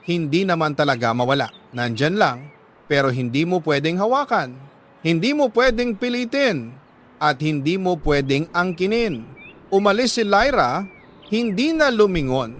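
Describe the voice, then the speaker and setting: A man with a Filipino accent reading a dramatic love story as scripted narration, deliberate and sentimental, with dramatic pauses. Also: on the high side, fairly bright tone, a little hiss or hum. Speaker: storyteller reading a listener's letter.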